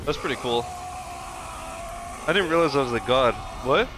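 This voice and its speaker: A man's voice: medium pitch, lively intonation, normal speed, noisy background. A man reacting to game cutscenes on a livestream with casual, joking, slangy, irreverent chat. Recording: game music bleeding behind voice. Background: game music.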